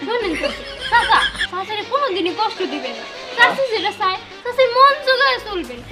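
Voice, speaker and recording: A woman's voice: very high-pitched, fairly quick, loud music behind the voice. A woman acting a teasing young relative who lectures an uncle in a comic drama. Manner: teasing, lively.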